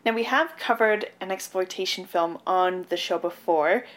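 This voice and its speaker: A woman's voice: lowish voice, normal speed, a bit bright. A young woman from Canada co-hosting a podcast, with an easy conversational delivery. She is blunt and wry when she talks. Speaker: podcast co-host. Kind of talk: casual podcast chat.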